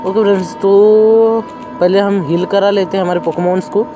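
A man's voice: high-pitched, fairly even intonation, normal speed, music in the background. A young man casually commenting on his playthrough as a gaming host, thinking aloud as he goes. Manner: chatty, thinks aloud while playing. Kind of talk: casual let's-play commentary.

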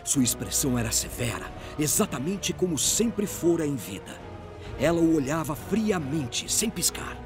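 A male narrator with a Brazilian accent reads a dramatic fantasy tale. His voice sits fairly high and moves through a wide, animated range. Music plays clearly behind him. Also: normal speed.

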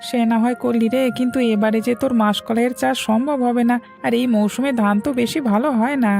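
A woman's voice: on the high side, steady tone, quick pace, creaky, darkish tone. A woman voicing a worried, earnest village farmer man in a rustic cartoon story. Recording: background music bed.

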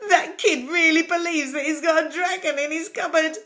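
A woman voicing an astonished, excitable storybook postwoman, exclaiming emphatically. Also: very high-pitched, moderate pace, voice pushed up. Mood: amused disbelief.

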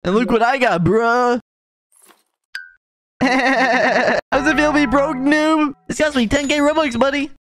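A woman's voice: high-pitched, a little slow, a little hiss or hum. A woman voicing a boastful, toxic boy gamer in a cartoon fan voice-over, talking in a taunting, sneering way.

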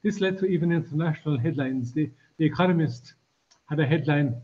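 Older male lecturer, breathy, measured, lots of restarts; dull far-camera sound. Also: fairly high pitch, mellow. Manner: measured, many restarts and fillers. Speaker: guest lecturer. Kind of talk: formal lecture with anecdotes. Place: embassy room with curtained windows.

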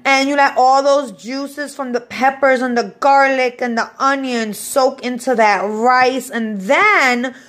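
A woman's voice: high voice, takes it slowly, few pauses, a bit bright. A woman from the Bronx giving a casual, unscripted home cooking demonstration, chatting informally to viewers in her kitchen. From the Bronx, New York, USA. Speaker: home cook vlogger. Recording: echoey room, clear background noise.

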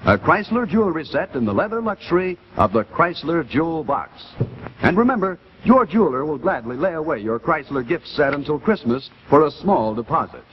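1950s American male announcer, highish voice, animated polished pitch for a sponsor. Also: a little fast. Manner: polished sales delivery. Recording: dull 1950s TV kinescope, faint noise.

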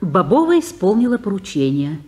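Woman narrator, old radio drama, matter-of-fact, lively pitch. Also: a little fast. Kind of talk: scripted narration of a radio play.

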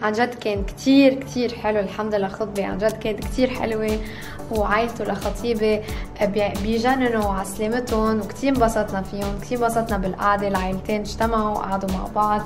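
A woman's voice: medium pitch, steady tone, racing. A woman in her thirties with a Lebanese Arabic accent, chatting casually and effusively to her vlog, mixing in English. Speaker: vlogger. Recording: music behind the voice.

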